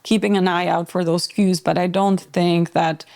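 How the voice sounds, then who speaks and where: A woman from Albania, a podcast guest who talks about fitness in a relaxed, rambling way with frequent filler words. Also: lowish voice, moderate pace, gravelly. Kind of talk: casual conversational interview.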